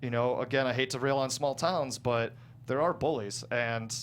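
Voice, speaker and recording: A man's voice: mid-range voice, moderate pace, fairly bright tone, slight background noise. Rambling, self-deprecating man in casual conversation. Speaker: guest screenwriter.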